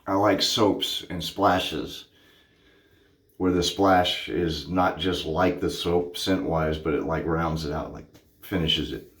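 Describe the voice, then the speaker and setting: A man's voice: sits low, steady tone, takes it slowly, fairly bright tone. A man in his thirties chatting casually to camera in a small home bathroom, goofy and hyper, often correcting himself. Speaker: shaving vlogger. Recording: echoey bathroom.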